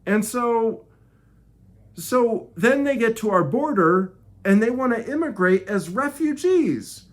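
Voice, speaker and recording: A man delivering an informal opinion monologue in a halting, preachy manner, often repeating and rephrasing himself. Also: extremely high pitch, lively intonation. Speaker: video creator.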